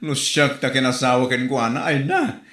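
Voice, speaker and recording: A woman's voice: a bass voice, expressive, moderate pace, fairly bright tone, roomy sound. A woman with a Filipino Ilocano accent acting an incredulous, mocking village gossip in a comedy drama.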